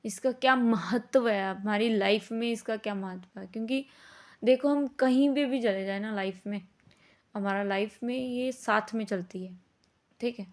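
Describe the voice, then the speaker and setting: High-voiced woman teaching informally. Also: unhurried. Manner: conversational, checks understanding with frequent tag questions; mixes English words into speech. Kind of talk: informal explanatory lesson.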